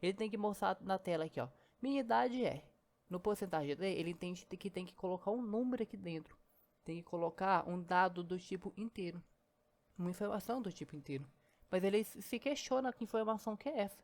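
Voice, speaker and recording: A woman walks through code at a quick clip, chatty and step by step. Her pitch sits in a middle range, and keyboard typing can be heard behind her. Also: animated, darkish tone. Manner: chatty, explains step by step, keeps saying "ó" and "né". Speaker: programming teacher. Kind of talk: casual screen-recorded coding lesson.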